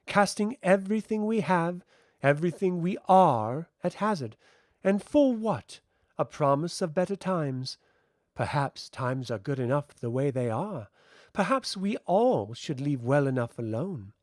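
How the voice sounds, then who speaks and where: A man's voice: on the high side, animated, measured pace, no room sound, studio quality. A man voicing a haughty, very tall fairy queen, imperious, cold and mocking, in a fantasy audiobook. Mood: bitter.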